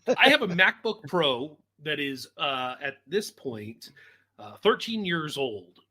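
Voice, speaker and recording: A man's voice: a little high, very animated, takes it slowly, bright, forceful tone. A man hosting a casual interview, with a blunt, joking manner. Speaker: host, executive producer.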